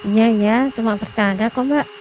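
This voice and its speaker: A woman's voice: on the high side, moderate pace, a little mellow, soft background music, close to an everyday voice. A woman playing a friendly, polite and chatty young female hiker in a cartoon. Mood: casual. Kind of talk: cartoon dialogue acting.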